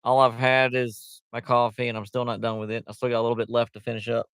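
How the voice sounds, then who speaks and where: A man's voice: medium pitch, monotone, fast talker, a bit bright, clear, resonant tone, dry recording. A man hosting a casual livestream, rambling in a loose, chatty way with lots of fillers, talking into a headset mic. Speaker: livestream host.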